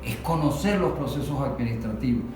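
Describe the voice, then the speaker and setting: Venezuelan male worker, halting explainer who repeats for emphasis; heavily echoing room, distant mic. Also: medium pitch, darkish tone, faint music behind. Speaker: industrial worker giving testimony. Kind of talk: unscripted panel discussion. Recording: very echoey room, distant mic, faint noise.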